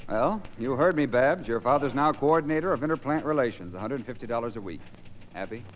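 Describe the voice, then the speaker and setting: An actor playing a smooth but uneasy young schemer in 1950s radio drama. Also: male voice, medium pitch, animated, a little fast, in a large hall, a little hiss or hum, lightly acted. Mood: smug. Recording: muffled old radio broadcast.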